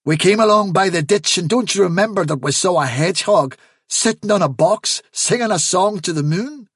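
Storybook rabbit witness, played by a male reader: high, husky, eager to please and touchy when doubted. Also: lively intonation, normal speed, fairly bright tone, no echo, studio quality, full character voice. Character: eager, deluded male rabbit witness, servile and easily indignant. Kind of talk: storybook character reading.

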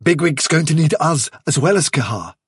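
A man's voice: fairly high pitch, animated, moderate pace, slightly rough, no room sound, clean studio sound. A man voicing a loyal male rabbit leader in an audiobook, resolute and earnest. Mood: stubborn resolve.

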